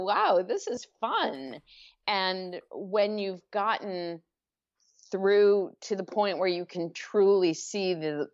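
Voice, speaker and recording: Woman guest author, unhurried and low-voiced, thinking aloud in a muffled lo-fi recording. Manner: thoughtful, circling with restarts and fillers. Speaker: author being interviewed. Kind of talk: reflective interview answers. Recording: dull lo-fi audio.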